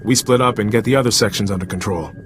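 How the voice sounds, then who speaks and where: An actor playing a practical, wary soldier in a video game, brisk and matter-of-fact. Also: male voice, fairly low pitch, background noise, lightly acted. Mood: businesslike. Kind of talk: scripted video game acting.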